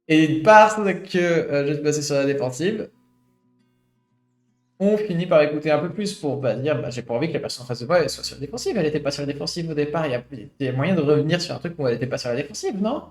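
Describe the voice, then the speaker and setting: A man's voice: a little high, lively intonation, fast. A man in his late twenties streaming, reading a study aloud and adding his own reflective commentary full of fillers. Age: late 20s. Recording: roomy echo with faint guitar music.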